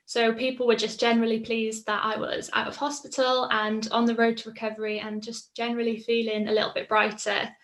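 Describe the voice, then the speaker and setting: A woman's voice: a little high, level tone, a little fast, few pauses, fairly bright tone. A woman, telling her personal story in a casual, reflective way, with frequent ums. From Mid Wales. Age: 20s. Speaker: patient sharing her story. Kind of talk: personal testimonial.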